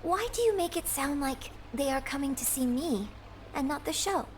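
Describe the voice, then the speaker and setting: A woman's voice: sits high, animated, moderate pace, a little hiss or hum. A woman voicing a poised, earnest young female opera star in a video game, speaking politely and formally. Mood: gently objecting. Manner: polite, composed, formal phrasing. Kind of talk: video game character dialogue. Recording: game music behind the voice.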